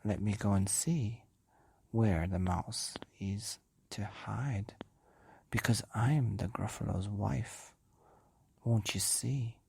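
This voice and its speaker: A man voicing the Gruffalo's wife, a fierce, boastful monster mother who makes hushed, whispery threats. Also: fairly low pitch, dry recording, heavily acted.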